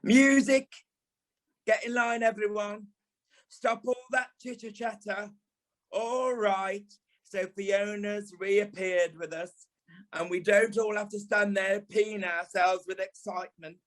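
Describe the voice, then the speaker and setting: An actor playing a camp, sharp-tongued British dance teacher and former stage dancer in an audio play, theatrical and sarcastic. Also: male voice, high-pitched, steady tone, slow pace, rough recording. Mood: sarcastic, scolding. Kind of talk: audio play monologue and dialogue.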